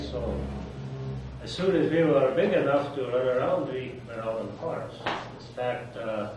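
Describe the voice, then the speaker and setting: An older man from Switzerland, telling his life story in an oral history interview in a plain, halting way with many pauses. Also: medium pitch. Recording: echoey room, distant mic, noisy.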